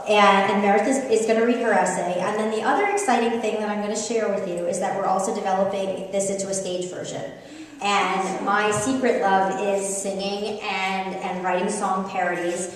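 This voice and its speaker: The recording is very reverberant, with a long hall tail and the microphone set well away from the speaker. A middle-aged woman with an American accent gives a live reading as an author. Her delivery is conversational and expressive, in the style of humorous storytelling, at a medium pitch. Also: slight background noise. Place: reading event in an echoey room. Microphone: distant microphone. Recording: very echoey room, distant microphone.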